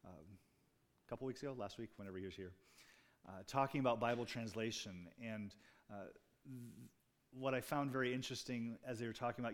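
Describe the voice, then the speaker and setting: A man preaching a sermon as pastor, talking conversationally with asides and jokes to his congregation. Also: mid-range voice. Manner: restarts and fillers, speaking off the cuff.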